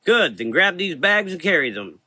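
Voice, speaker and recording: Man voicing a blunt, higher-pitched young woman adventurer. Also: big pitch swings, moderate pace, bright, forceful tone, no echo, heavily acted. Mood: loud, bossy. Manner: blunt, bossy. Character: tall, frayed, brusque young woman adventurer.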